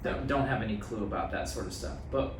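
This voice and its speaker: A man's voice: lowish voice, brisk, far from the mic. An American man in his thirties, plain-spoken, repeating phrases for emphasis in a casual podcast conversation. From small town in the USA. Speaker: guest health practitioner. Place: small office meeting room. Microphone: podcast mic on boom arm. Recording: roomy, off-mic sound, faint noise.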